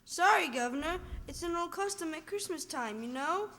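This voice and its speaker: A woman's voice: very high voice, expressive, moderate pace, a bit bright, exaggerated voice. A woman playing a polite, cheerful street caroler in a radio drama, speaking with deference. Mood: polite, cheery. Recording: distant, noisy reverberant hall.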